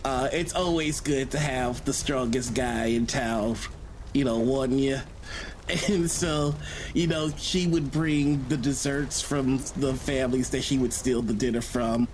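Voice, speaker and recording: A man's voice: medium pitch, measured pace, darkish tone, slight background noise, poor audio. A man playing a tabletop game, casually speaking for and narrating his character.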